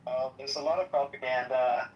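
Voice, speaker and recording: A man hosting a casual political talk, halting and repeating words as he thinks aloud. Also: mid-range voice, steady tone. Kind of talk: casual political talk in an audio chat room. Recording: distant mic with faint noise.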